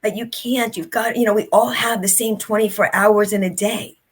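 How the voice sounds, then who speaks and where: A woman's voice: mid-range voice, fairly even intonation, normal speed, slightly rough. A middle-aged woman, an author and speaker, telling her story as an interview guest in an earnest, reflective way.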